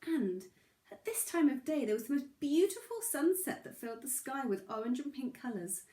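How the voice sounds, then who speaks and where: Woman storyteller, fairly high voice, gentle, expressive, children's story reading, roomy recording. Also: moderate pace. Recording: roomy, echoey sound.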